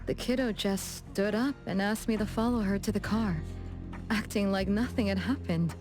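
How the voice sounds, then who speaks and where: A woman's voice: mid-range voice, moderate pace, close to an everyday voice. A woman voicing a poised, gracious young lady in a video game, composed and polite with a lightly teasing touch. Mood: thoughtful. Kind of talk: game dialogue acting, storytelling. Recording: music behind the voice.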